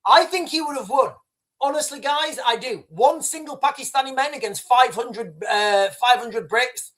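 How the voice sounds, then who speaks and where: A man's voice: very high-pitched, normal speed, a bit bright. Rambling man hosting a livestream. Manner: rambling, talks straight to viewers as "guys", frequent restarts and "right". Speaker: livestream host. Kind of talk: live commentary over video clips.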